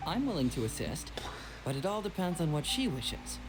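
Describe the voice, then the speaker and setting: A man voicing a young, confident, shrewd detective in a video game, with a casual, smooth, teasing manner. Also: a little high, very animated, normal speed, background noise. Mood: polite, breezy. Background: game music.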